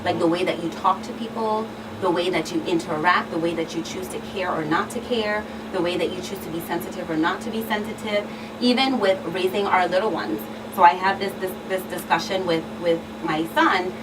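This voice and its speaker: A woman's voice: lowish voice, a little fast. A woman in her thirties speaking as a guest expert in an earnest panel discussion, explaining with everyday examples. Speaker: talk show guest expert. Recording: distant mic, clearly noisy room.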